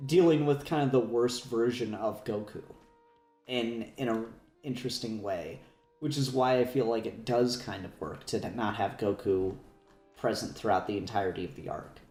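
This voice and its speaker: A man's voice: fairly low pitch, a little mellow. Man in a roomy echo, discussing casually. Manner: halting, repeats and rephrases himself. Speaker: podcast co-host. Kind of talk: casual discussion, opinionated analysis. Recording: roomy echo, faint music.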